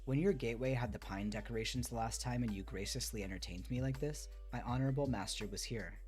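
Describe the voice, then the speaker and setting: A man's voice: mid-range voice, quick pace, a little mellow, soft background music, lightly acted. A man reading aloud a respectful, humble male servant in a storybook, with formal, deferential delivery. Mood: respectful, reminiscing.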